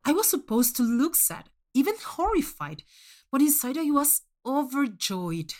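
A woman reading first-person fiction as a scripted narration, in a measured, expressive storytelling manner. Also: high voice. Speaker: short story reader.

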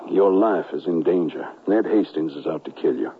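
A man's voice: deep voice, moderate pace, narrow, tinny audio, a little hiss or hum. An actor playing a troubled, loyal businessman caught in a conspiracy, earnest and weighty, in old-fashioned radio drama style. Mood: urgent. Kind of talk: old-fashioned radio drama acting with first-person narration. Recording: band-limited radio broadcast, music behind.

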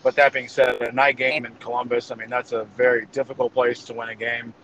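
A man's voice: medium pitch, level tone, brisk, dull audio, background noise. A man giving casual football predictions as a guest analyst, hesitating and thinking aloud as he goes. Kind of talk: casual game-prediction commentary.

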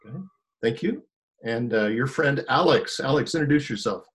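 A man's voice: medium pitch, moderate pace, fairly bright tone. A man hosting an interview over a video call, talking with frequent ums and uhs. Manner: hesitant, many ums and uhs. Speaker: host.

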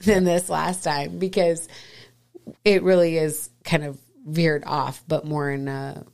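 A middle-aged woman co-hosting a podcast in casual chat, hoarse and raspy from a cold, chatty and quick to laugh. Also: deep voice, level tone, darkish tone. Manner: laughs often, chatty and playful. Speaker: podcast co-host.

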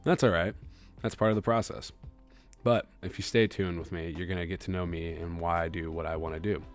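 Deep-voiced young man hosting a podcast. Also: fairly even intonation, fairly quick, soft background music. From Kansas City, USA. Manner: earnest, reflective, loosely rambling. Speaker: podcast host. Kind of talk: solo podcast monologue.

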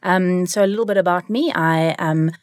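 A woman with a South African accent speaks as an interview guest in a conversational storytelling style. Her pitch is on the low side and her manner is reflective and articulate. The studio recording is very dry. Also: normal speed, no room sound. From Cape Town, South Africa. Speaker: interview guest, leadership consultant.

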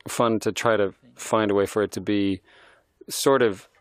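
A middle-aged man, a film director, giving an admiring, halting film commentary. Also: fairly low pitch, fairly even intonation, no room sound. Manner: thoughtful, admiring, halting restarts.

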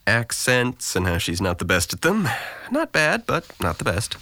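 Man playing sarcastic depressed young man, lively swooping intonation, dryly witty, deflecting, close dry sound, audio drama. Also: mid-range voice, normal speed, no echo, heavily acted. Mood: evasive. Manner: sardonic, deflecting, articulate.

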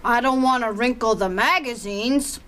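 A woman's voice: on the high side, normal speed, a bit bright, natural voice. A woman playing a sweet, nosy older neighbor in an audio drama, polite, fussy and chatty.

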